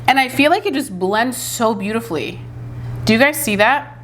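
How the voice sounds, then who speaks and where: A woman's voice: fairly high pitch, lively intonation, normal speed. A woman chats through a makeup demo in a casual, enthusiastic way, full of fillers. Her voice is a little congested from a recent cold. A faint hum sits under the recording. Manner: chatty, many fillers, enthusiastic. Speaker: beauty vlogger.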